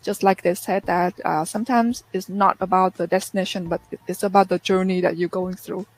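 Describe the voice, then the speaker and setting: A woman's voice: on the low side, fairly even intonation, brisk, low-quality recording. Earnest woman on a video call. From Vietnam. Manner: earnest, reflective storytelling. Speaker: interview guest. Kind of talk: casual interview chat.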